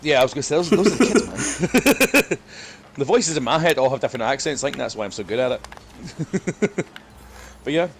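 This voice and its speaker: A man's voice: on the high side, lively intonation, normal speed. Male, casual podcast guest, rambles and runs himself down, noisy recording. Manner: self-deprecating, rambling. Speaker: podcast guest, dungeon master. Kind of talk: casual banter while gaming. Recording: clearly noisy background.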